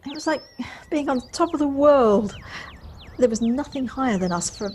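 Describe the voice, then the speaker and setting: A woman's voice: high voice, expressive, moderate pace, a little mellow. A woman playing an eager, inquisitive newcomer in her forties in an amateur audio drama, sometimes hesitant. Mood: enraptured. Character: inquisitive, eager forty-five-year-old woman newcomer. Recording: noisy home recording on personal device.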